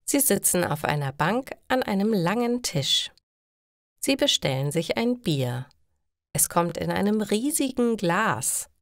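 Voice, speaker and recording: A woman's voice: medium pitch, very animated, unhurried, dry recording, studio quality. A woman narrating simple stories for language learners in a careful storytelling manner.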